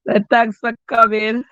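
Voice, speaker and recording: A woman's voice: on the high side, fairly bright tone, no echo, highs cut off. A middle-aged Jamaican woman hosting a cooking live stream, chatting casually with viewers by name. She sounds stuffy and congested and often restarts her sentences. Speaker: cooking channel host.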